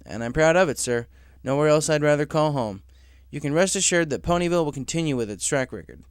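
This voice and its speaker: A man's voice: on the high side, animated, fast talker, clean tone, no echo, clean studio sound, pitched above the performer's own voice, lightly acted. A man voicing a confident, encouraging male pegasus pony boss in a story, assured and businesslike yet casual. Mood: proud, eager.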